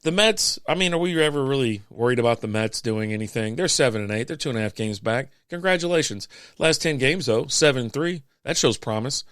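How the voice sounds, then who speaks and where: A man hosting a podcast, reading out sports standings in a casual, chatty way with offhand quips, his voice going hoarse. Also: medium pitch, expressive, fairly quick, fairly bright tone, dry recording, clean studio sound. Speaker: podcast host.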